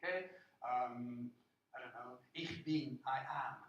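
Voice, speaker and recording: A man's voice: mid-range voice, slow pace, lo-fi sound. An English man giving a classroom lecture, explaining step by step and often checking with "okay?" and "uh". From England. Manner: plain explanatory, frequent "okay?" checks and "uh" fillers. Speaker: university lecturer. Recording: echoey classroom, distant microphone.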